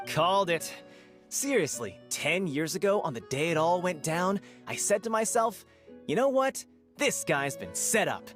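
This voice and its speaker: A boastful, chatty townsperson from a video game, done by an actor. The delivery is emphatic and swings widely in pitch, with faint music underneath. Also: close to an everyday voice. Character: opinionated, boastful townsperson, loyal and forgetful gossip. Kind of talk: video game character acting. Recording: music behind the voice.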